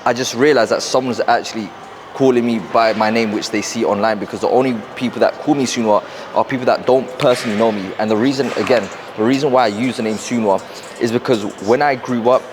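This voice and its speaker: A young man with a London accent, talking fast and rambling to camera in a casual motivational vlog, wearing a clip-on mic in a gym. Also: medium pitch, steady tone, background noise. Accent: London English. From South London, England. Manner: fast, rambling, repeats himself. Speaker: fitness and mindset coach. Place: busy gym.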